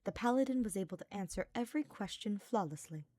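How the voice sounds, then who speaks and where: A woman's voice: medium pitch, animated, quick pace, mellow, no echo, studio quality. A woman reading a scripted story aloud as its narrator. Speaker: fan fiction podfic narrator.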